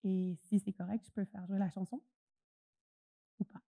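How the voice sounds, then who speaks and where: A woman's voice: mid-range voice, flat delivery, normal speed, gravelly, warm tone, dry recording. A woman giving a conference presentation, chatty and full of hesitations. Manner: chatty, hesitant with many fillers. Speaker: conference speaker. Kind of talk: presentation talk.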